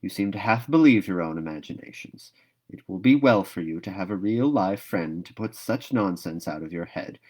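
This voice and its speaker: A man's voice: low voice, expressive, normal speed. A man voicing a stern, practical older female guardian in a storybook read-aloud, dry and disapproving.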